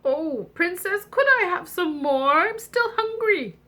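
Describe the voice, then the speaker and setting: A woman's voice: very high-pitched, lively intonation, unhurried, sharp tone, voice pushed up, heavily acted. A woman voicing a needy little frog in a storybook, pleading in a sing-song way.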